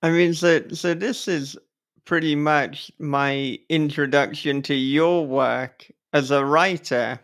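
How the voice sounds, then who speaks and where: A man hosting a casual interview podcast, speaking in halting, thoughtful phrases as he talks with a guest. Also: on the high side, steady tone, a bit bright.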